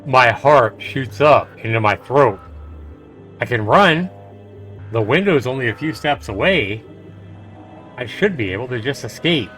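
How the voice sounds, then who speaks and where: A man's voice: mid-range voice, expressive, measured pace, long pauses, a bit bright, faint music behind. A man streaming a game, reading a visual novel aloud and adding casual commentary.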